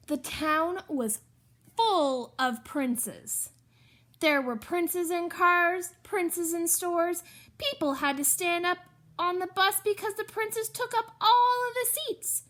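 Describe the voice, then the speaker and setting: A woman reading a children's story aloud in an expressive, emphatic way, with chatty asides to the listeners. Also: very high voice, slow. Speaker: storybook reader.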